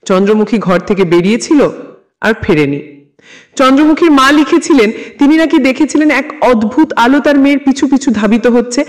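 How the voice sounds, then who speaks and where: A man narrating a scripted first-person horror story in a measured, literary storytelling manner. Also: extremely high pitch, animated.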